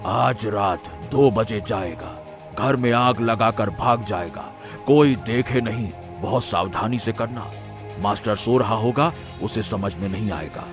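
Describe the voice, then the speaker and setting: A man's voice: on the low side, unhurried, natural voice. Man playing an arrogant, threatening village strongman. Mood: scheming. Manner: threatening, domineering. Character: arrogant, vengeful village strongman, menacing boss. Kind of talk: Hindi cartoon moral-story voice acting. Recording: background music under the voice.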